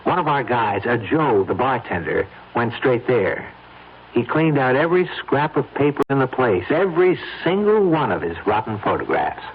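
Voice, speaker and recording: Curt, cynical schemer, tinny sound. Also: male voice, medium pitch, sing-song, moderate pace, husky. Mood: assured. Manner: curt, confrontational. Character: hard, cynical man covering up a crime. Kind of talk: old-time radio drama acting. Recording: noisy, tinny old radio recording.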